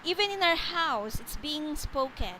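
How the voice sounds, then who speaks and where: High-voiced Filipino woman tutoring English. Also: animated, measured pace, background noise. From Philippines. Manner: patient, explanatory, often repeats and rephrases. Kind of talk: online one-on-one English lesson. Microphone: video call.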